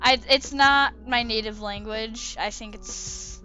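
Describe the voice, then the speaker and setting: High-voiced woman rambling over a speedpaint. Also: slow pace, pushed and bright, highs cut off, faint music behind. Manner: rambling, hesitant, self-correcting. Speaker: artist commenting on own drawing. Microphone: desk microphone.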